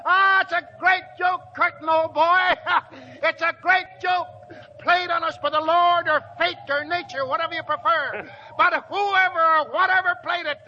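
An actor playing a seasoned old American gold prospector, folksy and wry, in 1940s radio drama style. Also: male voice, extremely high pitch, fairly even intonation, normal speed. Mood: laughing bitterly. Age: older. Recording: dull, noisy old radio recording.

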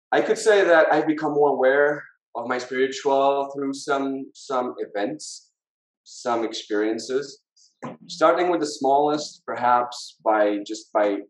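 A man in his thirties with a Portuguese accent, talking reflectively as a podcast guest and searching for words. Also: medium pitch. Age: 30s. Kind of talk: reflective interview talk. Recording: roomy, echoing sound.

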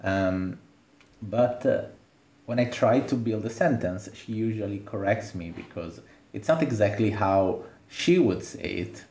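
A man hosting a podcast. He talks softly and hesitantly in a casual conversation. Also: on the low side, lively intonation, unhurried, reverberant. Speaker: podcast host.

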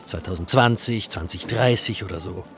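An actor playing a fussy, impatient co-narrator in an audio play, nagging and correcting like a stickler. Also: male voice, low voice, normal speed, fairly bright tone, a little hiss or hum. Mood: reproachful. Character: fussy, impatient male co-narrator who insists on the script. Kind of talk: scripted audio play, conversational.

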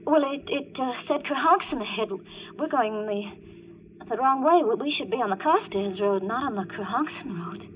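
An actress playing a frightened woman narrating her suspenseful night ride with a killer, in 1940s radio drama style, halting when scared. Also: fairly high pitch, animated, slight background noise, natural voice. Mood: nervous alarm. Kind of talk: 1940s radio thriller acting. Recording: muffled old radio broadcast, music behind.

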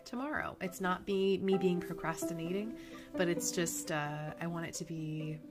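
A woman with a somewhat low, slightly nasal voice talks loosely and without a script, upbeat and encouraging. She sounds like a motivational podcast host. Faint music plays behind her. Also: steady tone, moderate pace. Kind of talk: casual motivational monologue.

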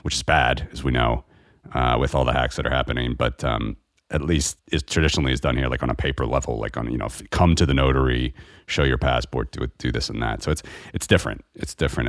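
Very deep-voiced man, rambling host. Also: quick pace, no room sound, clean studio sound. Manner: rambling, many fillers. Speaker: podcast host. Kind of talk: casual conversation about money. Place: lives in Eastern Europe.

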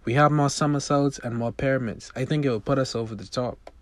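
A man voicing a lively, teasing teenage best friend in a movie script reading. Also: medium pitch, fairly quick, dry recording, voice pushed up, natural voice. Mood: eager. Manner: chummy, teasing.

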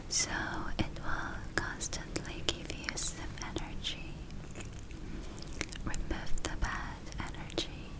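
A woman playing a gentle, caring witch in a whispered ASMR roleplay, speaking softly and soothingly with hesitant phrasing. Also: close to an everyday voice, rough recording. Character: gentle caring witch running a magic spa. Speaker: ASMR performer.

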